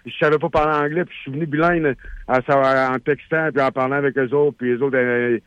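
A man's voice: mid-range voice, steady tone, quick pace, a bit bright, smooth, dry recording. A man with a Quebec French accent calling in to a radio show, rambling and repeating himself as he chats casually. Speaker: caller. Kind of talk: casual call-in chat. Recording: muffled phone-line call.

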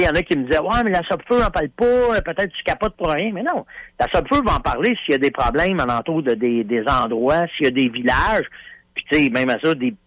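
A man with a Quebec French accent chats on the radio in a slightly high voice. He tells his stories with casual slang and plenty of fillers. The sound is dry and close-miked. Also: no echo, rough recording. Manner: animated storyteller, folksy slang, many fillers.

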